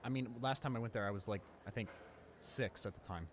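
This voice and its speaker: Guy acting as an awkward friendly high school boy, rambly and hesitant, casual roleplay audio, some bg noise. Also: brisk, close to an everyday voice. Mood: chatty, enthusiastic. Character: friendly, awkward, talkative teenage male high school student.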